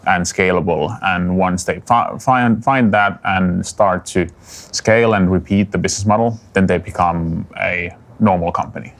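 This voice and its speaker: Deep gravelly guy explaining startups like a lecture. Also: normal speed. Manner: definitional, lecturing. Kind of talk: interview.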